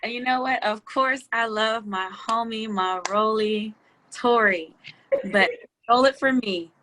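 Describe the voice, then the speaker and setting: Bright, high-pitched giggly woman. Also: a little slow, poor audio. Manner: animated, slangy, giggly. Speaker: cast member being interviewed. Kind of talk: casual group video-call chat. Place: online video call.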